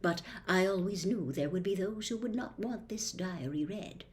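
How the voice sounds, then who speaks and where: A woman voicing the persuasive memory of a young man trapped in a diary, speaking in a hushed, half-whispered way. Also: mid-range voice, normal speed, lightly acted. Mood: secretive. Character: young male phantom in a diary, secretive and persuasive.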